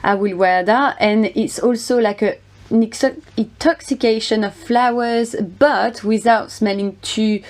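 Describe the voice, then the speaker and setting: Earnest woman, perfume guest, halting. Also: on the high side, fairly even intonation. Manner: earnest, many fillers and uh pauses. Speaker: fragrance reviewer. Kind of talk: guest segment in a video collaboration.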